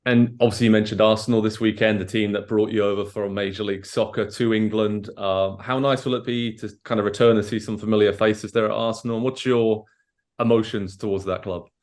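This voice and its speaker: A man's voice: fairly low pitch, steady tone, a little fast, a little mellow. A man interviewing a soccer player, asking long leading questions. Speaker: sports interviewer.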